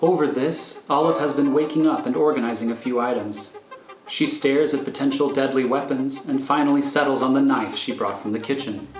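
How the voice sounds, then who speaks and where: Roomy-sounding man narrating a screenplay. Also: medium pitch. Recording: roomy recording over faint music.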